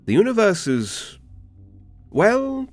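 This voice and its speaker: A man playing a refined, polite, world-weary information dealer with theatrical, hesitant pauses in a fantasy audio roleplay. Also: high-pitched, wildly swooping pitch, darkish tone, soft background music, heavily acted. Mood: apologetic, sympathetic.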